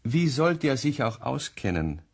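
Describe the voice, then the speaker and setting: Male narrator, low husky voice, animated pitch, expressive children's storytelling. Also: a little slow.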